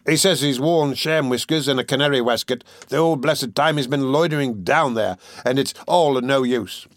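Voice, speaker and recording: Man doing a gruff, surly burglar. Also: moderate pace, no echo, clean studio sound, close to an everyday voice. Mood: scornful. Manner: blunt, scornful, rough lower-class slang. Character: gruff, surly male burglar with short temper. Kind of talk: audiobook character voice.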